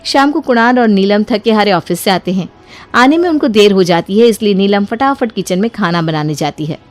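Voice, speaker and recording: A woman's voice: medium pitch, expressive, normal speed. A woman narrator telling a scripted family story in a storytelling style.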